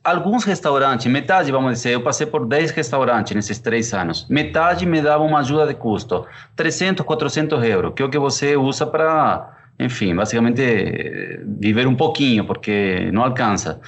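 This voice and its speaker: A man in his thirties from Argentina, a chef, answering interview questions with an Argentine Spanish accent, unhurried and thoughtful with frequent fillers. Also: mid-range voice, lively intonation. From Córdoba, Argentina. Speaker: chef and pizzeria partner.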